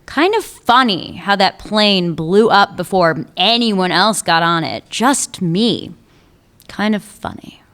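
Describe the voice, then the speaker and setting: A woman's voice: mid-range voice, animated, slow pace, a bit bright. A woman playing a sly woman police chief who teases an old lover, in a radio play. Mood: needling. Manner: teasing, needling, wry. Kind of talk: amateur radio drama acting.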